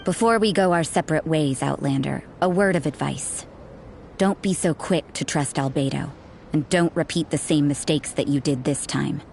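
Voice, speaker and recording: A cool, suspicious young woman on patrol in a video game. Her voice sits low and she speaks formally and deliberately, like someone issuing warnings, over noticeable background ambience. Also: creaky, a little mellow, a little hiss or hum. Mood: stern, warning. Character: stern, guarded young woman patrol investigator, coolly suspicious. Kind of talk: video game character acting.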